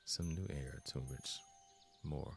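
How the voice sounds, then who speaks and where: A man with an extremely low voice leads a guided meditation. He speaks very slowly and calmly, leaving long pauses between measured, deliberate phrases. Soft music with chimes plays gently behind him. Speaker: guided meditation host and instructor. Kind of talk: slow guided meditation instruction.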